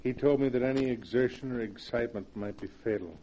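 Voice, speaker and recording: An actor playing a meek, pleading older husband. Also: male voice, medium pitch, fast talker, dark tone, lightly acted. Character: timid middle-aged husband with weak heart. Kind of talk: 1940s radio acting. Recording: dull old 1940s radio recording with hiss.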